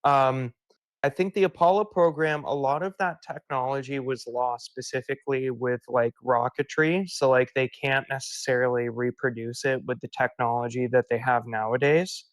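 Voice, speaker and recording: A man's voice: mid-range voice, steady tone, normal speed, no echo. A young man co-hosting a casual podcast, a little drunk, rambling and laughing as he talks. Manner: rambling, laughs often, tipsy. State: tipsy from beer. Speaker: podcast co-host. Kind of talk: casual chat.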